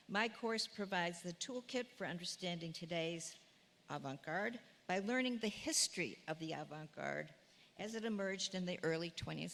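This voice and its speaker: A woman's voice: on the low side, vocal fry, fairly bright tone. A woman instructor previewing her course to an audience, chatty and self-deprecating with many fillers. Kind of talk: class preview talk. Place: lecture hall, in front of audience. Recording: long hall reverb, faint room noise.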